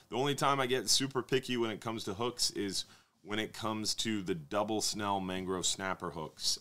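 A man in his thirties hosting a live fishing Q&A stream from a studio desk, reading viewer questions and answering them in a relaxed, conversational way. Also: low-pitched, clear, resonant tone. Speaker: fishing show host. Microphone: desk microphone on stand.

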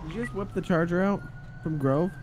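A man's voice: sits high, brisk, background noise. A man chatting casually and jokingly as a fellow player in an online police roleplay game. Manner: informal, joking. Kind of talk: casual gaming chat.

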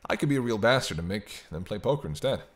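A man's voice: lowish voice, animated, quick pace, close to an everyday voice. A man playing a teasing, century-old vampire in an intimate roleplay audio drama, bantering wryly with hesitant asides. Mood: dryly teasing. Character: teasing century-old male vampire, sardonic but soft-hearted.

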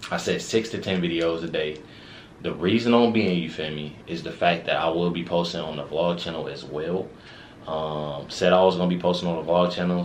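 A young man with a very deep voice chats in a loose, slangy way, packing his talk with fillers as he hosts a reaction video. His small room sounds echoey, with a faint hiss behind him. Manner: laid-back, slangy, lots of fillers. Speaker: reaction channel host. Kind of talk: casual reaction vlog chat. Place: small indoor room with records on the wall.

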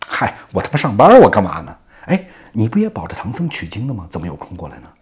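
A man's voice: fairly low pitch, huge pitch swings, fast, husky, exaggerated voice. A man voicing an easygoing, chatty heavenly guardian king in a story, casual and slangy. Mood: bemused.

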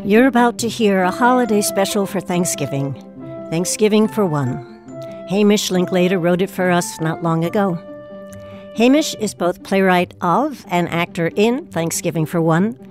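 Lower-voiced woman host over music. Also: animated, moderate pace. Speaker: host introducing a radio play. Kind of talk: scripted narration. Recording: music behind the voice.

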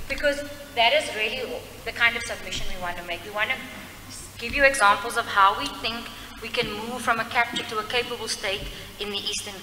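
A young woman from South Africa giving a public presentation at a podium mic, halting and correcting herself as she goes. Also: fairly high pitch. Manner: halting, self-correcting, apologetic asides. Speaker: civil society presenter. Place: large hall, indoors. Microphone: mic on a stand at a podium. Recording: echoey hall from a distance.

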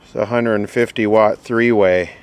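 A man giving casual, off-the-cuff narration of a repair job, halting as he thinks aloud. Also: fairly low pitch, fairly even intonation, clean tone. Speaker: host, vintage radio repairer. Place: beside an old console radio being worked on.

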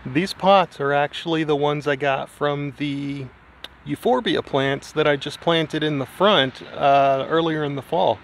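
A man's voice: fairly high pitch, measured pace, a little hiss or hum. A man in his thirties talking casually to his camera outdoors in his garden, thinking aloud with mild worry. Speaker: home gardener vlogger. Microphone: camera held at arm's length, outdoors.

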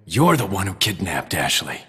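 A determined young agent with a lively, rising and falling delivery. His voice sits a little low, and loud music runs under it in the cutscene. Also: moderate pace. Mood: tense. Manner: terse, urgent. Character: young male government agent, determined, wry. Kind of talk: video game cutscene acting.

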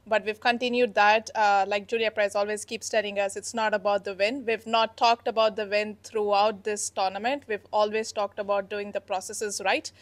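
A young woman captain fields reporters' questions in a steady, earnest tone, her pitch staying level and even. She speaks into a handheld mic in an indoor press room. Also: normal speed, hardly any pauses. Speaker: team captain. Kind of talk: post-match press conference answers. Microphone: handheld microphone.

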